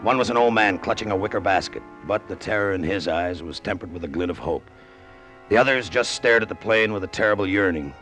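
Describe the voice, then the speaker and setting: A hard-boiled American male pilot, haunted and greedy. His voice is low and his delivery clipped and brooding. It is vintage radio drama, with music playing underneath. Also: a little fast, fairly bright tone. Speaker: actor narrating in character. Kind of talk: old-time radio drama acting.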